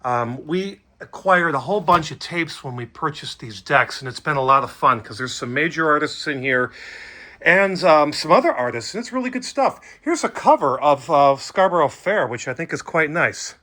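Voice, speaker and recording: Casting: middle-aged man, bright, forward voice, enthusiastic hobbyist presenting in an informal, conversational way. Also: on the high side, expressive, moderate pace. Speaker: hobbyist host demonstrating vintage audio gear. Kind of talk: casual product demo talk.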